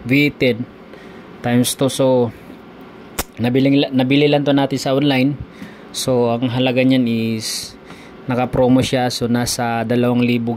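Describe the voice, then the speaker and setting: A man giving a casual, unscripted product tutorial, explaining haltingly with many repeats and fillers. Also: medium pitch, a little mellow, slight background noise. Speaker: tutorial video host.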